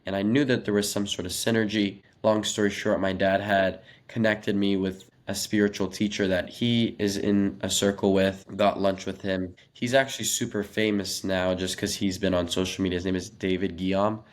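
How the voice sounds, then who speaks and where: A man's voice: low-pitched, level tone, normal speed, few pauses. A 21-year-old American man, a native Russian speaker, giving earnest, articulate answers as a podcast guest in a conversational interview. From Los Angeles, United States. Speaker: podcast guest, young startup founder.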